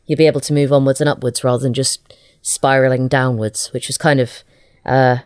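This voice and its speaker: A woman's voice: a bass voice, flat delivery, a little mellow, clean tone, dry recording, clean studio sound. A woman being interviewed as an audio drama writer, chatting casually and often stopping to rephrase herself. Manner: halting, self-correcting.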